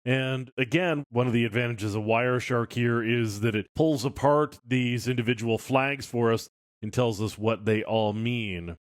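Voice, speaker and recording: A man's voice: mid-range voice, dry recording, studio quality. A man working as an instructor, talking through an on-screen demonstration in an informal, unscripted way. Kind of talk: screencast tutorial, explaining as he demonstrates.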